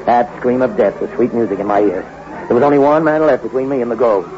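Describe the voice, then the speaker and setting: An actor playing a ruthless, greedy treasure-hunting thug in a hard-boiled, dramatic 1960s radio suspense style. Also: male voice, mid-range voice, fast talker, natural voice. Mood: callous, gloating. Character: greedy, ruthless Bronx thug narrating his treasure hunt. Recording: dull, very noisy old radio recording.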